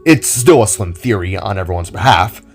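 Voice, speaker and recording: Calm scarred big brother, low and measured, read by a man for an audio drama. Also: soft background music. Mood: earnest. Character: scarred older brother, earnest and composed.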